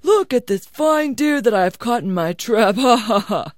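Sly, stubborn older village man for a storybook, acted by a woman, animated delivery, dry studio-like closeness. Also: fairly high pitch, measured pace, no echo, voice pushed up. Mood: gloating. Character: stubborn, sly older father-in-law, gloating and lazy.

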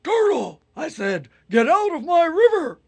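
A woman voicing a huge, bullying male hippopotamus who shouts orders. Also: takes it slowly, vocal fry, dry recording, heavily acted. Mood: bossy. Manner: loud, bossy, booming.

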